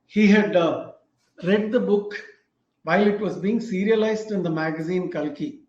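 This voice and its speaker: A man's voice: high-pitched, a little mellow. Indian man recalling a beloved book. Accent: Indian English. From Tamil Nadu, India. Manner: reflective, unhurried storytelling with fillers. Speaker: host. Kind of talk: casual book talk. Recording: echoey, dull lo-fi room sound.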